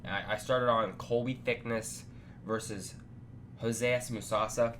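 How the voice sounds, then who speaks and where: A man's voice: on the low side, fairly even intonation, slow, fairly bright tone, slight background noise. A young man with a stuffy, congested voice, giving a blunt, rambling reaction to a night of fights. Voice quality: nasal, stuffy. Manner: blunt, offhand, rambling with restarts. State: congested after sickness. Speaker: MMA fight reaction host. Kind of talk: casual post-fight reaction chat.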